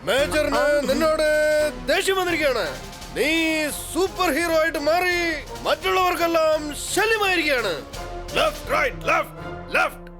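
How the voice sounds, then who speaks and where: A man's voice: extremely high pitch, lively intonation, normal speed. A man voicing a pompous, angry cartoon military man who barks drill orders. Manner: barking, drill-like. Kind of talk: cartoon dubbing. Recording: loud music behind the voice.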